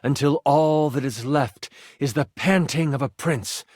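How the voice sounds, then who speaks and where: A man's voice: mid-range voice, darkish tone, no room sound, clean studio sound, natural voice. An actor playing a game master in a video game, a man narrating a tabletop fantasy adventure with theatrical, suspenseful timing. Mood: hushed drama. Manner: theatrical, suspenseful storytelling with weighted pauses. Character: living twenty-sided die, dramatic male game master.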